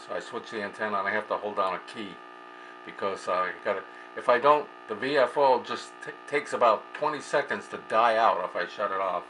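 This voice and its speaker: A man speaking as an amateur radio operator in a casual two-way chat, polite and a little hesitant. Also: on the low side. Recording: clear background noise and hum.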